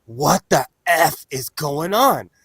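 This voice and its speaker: A man's voice: fairly high pitch, expressive, slow pace, fairly bright tone, husky, no room sound. A man in his forties with a nasal voice, telling joking stories in casual group banter. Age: 40s. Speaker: panelist on a livestream discussion.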